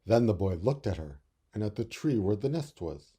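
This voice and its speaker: Very mellow, animated male storyteller. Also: lowish voice, normal speed, breathy edge. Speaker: storybook reader. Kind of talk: expressive children's story narration.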